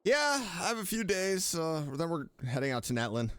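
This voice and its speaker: A man's voice: fairly high pitch, big pitch swings, a little fast. A man giving casual game commentary as a streamer, reacting aloud to the story.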